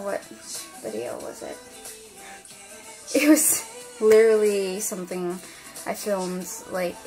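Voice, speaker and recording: A woman chatting casually while unboxing an album at her desk, rambling with lots of fillers and her voice going hoarse. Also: mid-range voice, rough recording. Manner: rambling, many fillers and restarts. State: losing her voice. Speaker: unboxing vlogger.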